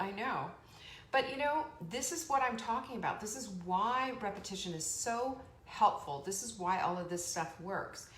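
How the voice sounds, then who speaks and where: A woman hosting a live online motivational show, chatty and energetic, laughing often and reading out viewer comments. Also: mid-range voice. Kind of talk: motivational live-stream talk. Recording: echoey room with faint background noise.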